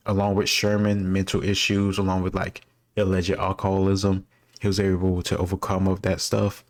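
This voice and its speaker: Casting: man with a low, flat voice, casual and rambling, reasons out loud and freely admits gaps. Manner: thinks aloud, candid about not knowing. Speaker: quiz-taker sharing his own commentary.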